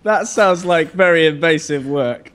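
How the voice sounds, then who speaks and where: A man's voice: fairly high pitch, measured pace, very noisy. A man hosting a casual, joking radio interview, giggly and easily flustered.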